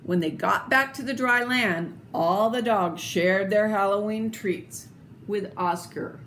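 An older woman reading a children's picture book aloud in an expressive, deliberate, theatrical way, with little asides. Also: mid-range voice. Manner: slow, deliberate, theatrical phrasing. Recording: clearly noisy home video recording.

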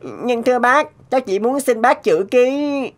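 A woman's voice: a little high, unhurried, close to an everyday voice. A woman voicing a polite, earnest young servant boy in a cartoon. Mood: timid hope. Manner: polite, eager. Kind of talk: animated cartoon dialogue. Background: music.

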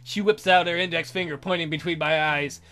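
A man's voice: on the high side, steady tone, fairly quick, sharp tone. A man doing a let's play, reading visual novel narration aloud. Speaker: let's player.

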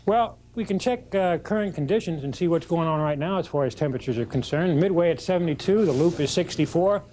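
A man's voice: fairly high pitch, animated, quick pace, dark tone. A middle-aged American man giving a casual, folksy weather forecast on television. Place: TV studio. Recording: old TV broadcast with faint noise.

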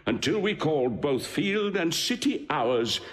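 Declamatory Roman general in a hall. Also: male voice, on the high side, very animated. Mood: fervent admiration. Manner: declamatory, measured. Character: Roman general extolling a warrior's bloody deeds, grave and ardent. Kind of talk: classical Shakespearean stage acting. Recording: long hall reverb.

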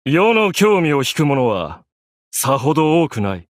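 An actor playing an arrogant, grandiose young pharaoh in an anime game, declaiming in an imperious, pompous way. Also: male voice, a little high, sing-song, measured pace, dry recording, clean studio sound. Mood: grand, haughty.

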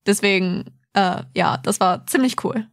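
A woman's voice: medium pitch, unhurried, gravelly. A young woman co-hosting a podcast in casual conversation. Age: young adult. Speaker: podcast co-host.

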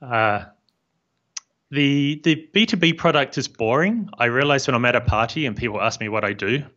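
A man in his fifties with a New Zealand accent, a software founder calmly explaining his business in an interview. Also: mid-range voice. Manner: measured and friendly, explains plainly. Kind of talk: casual interview chat. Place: home office room. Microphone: studio mic on boom arm, headphones. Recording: slightly dull lo-fi audio.

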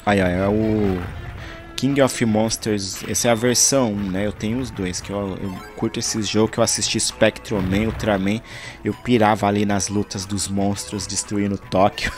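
A man talking casually over his gameplay footage, rambling and searching for words, from Brazil. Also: on the low side. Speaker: gaming channel host.

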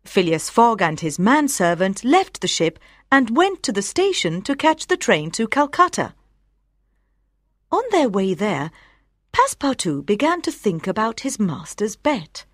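Woman reading a simple story for english learners, super expressive pitch, dry studio sound. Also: measured pace, no echo. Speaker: audiobook narrator. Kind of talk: scripted story narration for language learners.

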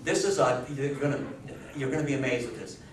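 A man's voice: mid-range voice, a little fast. An American man in his fifties or sixties giving an informal history talk, emphatic and fond of rhetorical questions. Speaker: lecturer on radio history. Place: small indoor meeting room with seated audience. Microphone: distant camera microphone. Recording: echoey room, far microphone, faint hiss.

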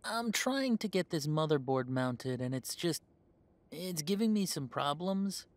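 A man's voice: fairly high pitch, animated, measured pace, dry recording. Man playing an easygoing, chatty young male werewolf, dry sound. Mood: casual, preoccupied. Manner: chatty, hesitant, conversational. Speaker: voice actor. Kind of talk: roleplay audio drama, casual one-sided conversation.

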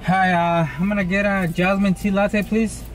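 A man's voice: high-pitched, level tone, moderate pace. A young man chatting casually and ordering from inside a car, picked up by the camera's microphone. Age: young adult. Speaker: prankster ordering at drive-thrus. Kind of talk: casual candid prank vlog. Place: inside a car at drive-thru windows. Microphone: camera mic in the car. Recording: loud music, car noise.

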